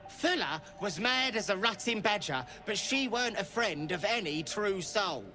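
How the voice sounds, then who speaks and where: An actor playing a surly, foul-mouthed, suspicious rebel underling in a video game, brusque and snarling. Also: male voice, high-pitched, lively intonation, unhurried, sharp tone, faint music behind, full character voice. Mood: hostile, wary. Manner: brusque, snarling, coarse cursing. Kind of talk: video game character acting.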